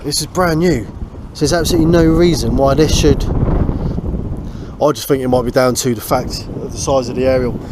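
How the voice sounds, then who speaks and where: A British man talks through a DIY job in an informal, think-aloud way, like a host chatting to viewers. His voice sits at an average male pitch. Clear ambient noise with a vehicle-like rumble runs behind him on a small boat. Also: a little fast. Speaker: host of a boat restoration vlog. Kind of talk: casual hands-on vlog commentary. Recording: noisy ambient sound, engine-like rumble.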